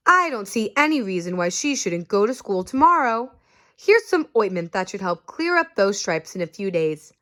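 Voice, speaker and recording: A woman voicing a fussy male doctor in a storybook, speaking in a measured way and rattling off symptoms. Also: mid-range voice, very animated, fairly bright tone. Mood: reassuring.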